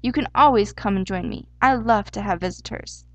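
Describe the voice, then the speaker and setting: A woman's voice: medium pitch, fairly quick, dry recording, muffled recording, close to an everyday voice. A woman voicing a fussy, eager male storybook hare with a German accent, exclamatory and easily indignant. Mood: proud. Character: excitable, fussy male Easter hare wearing pants. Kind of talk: storybook character voice.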